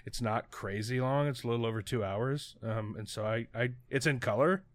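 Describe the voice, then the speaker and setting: A man's voice: mid-range voice, normal speed. A man co-hosting a podcast, chatting casually in a rambling, hesitant way, often poking fun at himself. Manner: rambling, hesitant, self-deprecating. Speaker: podcast co-host. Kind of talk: casual chat.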